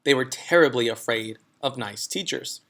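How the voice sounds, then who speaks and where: A man's voice: medium pitch, moderate pace, creaky, fairly bright tone. A man reading a children's story aloud in a scripted narration style. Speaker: storybook reader.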